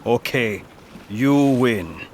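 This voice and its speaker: An actor playing a proud, stubborn pirate captain in an audio drama, speaking in a terse, defiant, urgent way. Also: male voice, mid-range voice, lively intonation, extremely slow, a little hiss or hum. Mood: bitter, resigned.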